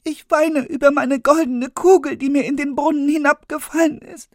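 A man voicing a spoiled young princess in a fairy tale, in a high falsetto. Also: fairly even intonation, moderate pace, no echo, clean studio sound. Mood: pleading.

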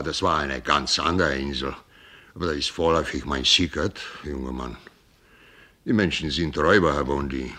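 An old sea captain in a radio drama with a very low, forceful voice. He is gruff and jovial, rambles, breaks off and drops English sailor words into his sentences. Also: exaggerated voice. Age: older. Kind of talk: German radio play acting.

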